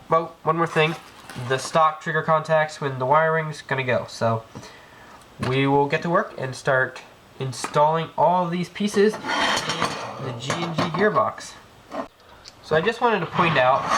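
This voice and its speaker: Man with a cold explaining gear work. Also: a little high. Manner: rambling, many ums and restarts. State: has a cold. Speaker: airsoft gearbox tech demonstrator. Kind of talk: casual hands-on tutorial narration. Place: indoor room, work on floor. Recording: noisy home video with clicks.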